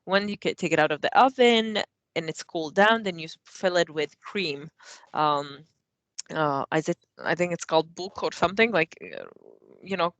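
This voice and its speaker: A woman's voice: on the low side, normal speed, a bit bright, dry recording. A young woman from Afghanistan co-hosting a casual podcast from her living room, chatting with many fillers and restarts. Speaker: co-host. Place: living room at home, kitchen nearby.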